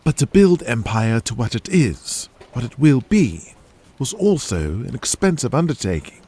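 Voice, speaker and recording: A man's voice: medium pitch, sing-song, unhurried, dark tone, slightly rough. A man reading scripted dramatic narration for an audio drama.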